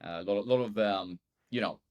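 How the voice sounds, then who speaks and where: Man, relaxed and thoughtful, low-pitched, chatting as a guest. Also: brisk, highs cut off. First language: Swedish. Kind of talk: casual chat.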